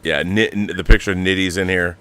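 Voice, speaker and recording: A man hosting a casual livestream, explaining things in a rambling, animated, slangy way, like a director talking shop. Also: low-pitched, fairly quick, smooth. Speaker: show host and audio drama director.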